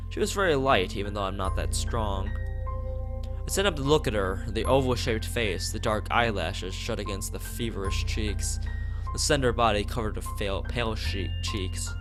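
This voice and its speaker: Man, fairly deep voice, let's play narration, reads and rereads lines, off-script comments, clear background music. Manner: stumbles over the text and rereads words. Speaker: let's play host reading a visual novel aloud. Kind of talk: read-aloud narration with side comments.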